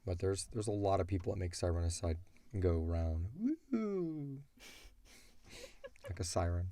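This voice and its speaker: A man's voice: low voice, animated, measured pace, mellow, no echo. A man answering listener questions as an audio drama creator, talking casually and bluntly as he thinks aloud.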